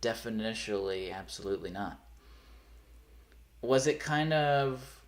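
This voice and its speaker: A man's voice: on the low side, lively intonation, measured pace. A young man with a faint rural Pennsylvania accent, chatting casually on a live stream, often trailing off and restarting his sentences. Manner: rambling, self-correcting, trails off.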